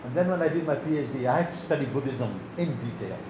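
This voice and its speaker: An older man lectures to a room in a loose, conversational way, often restarting words mid-phrase. His voice sits at a middle pitch. The recording is echoey, with steady noise behind him. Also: quick pace, dull audio. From Tanzania. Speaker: guest lecturer. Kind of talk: academic lecture. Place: lecture hall.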